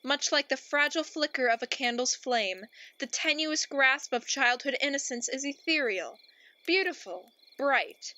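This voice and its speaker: A woman as a high-voiced, pompous narrator. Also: sharp tone, lo-fi sound. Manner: grandiose, theatrical. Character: pompous, melodramatic storyteller narrator, easily exasperated. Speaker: narrator and host. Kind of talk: radio play narration.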